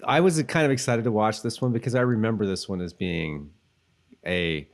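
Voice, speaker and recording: Guy with a pretty low voice just chatting on a podcast, kinda rambly. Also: moderate pace. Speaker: podcast co-host. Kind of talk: casual chat about a TV episode.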